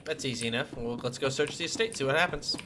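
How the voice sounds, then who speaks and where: A man's voice: mid-range voice, quick pace. A man giving casual gameplay commentary as he plays, talking to his viewers. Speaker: gamer, channel host. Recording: faint noise, keyboard clicks.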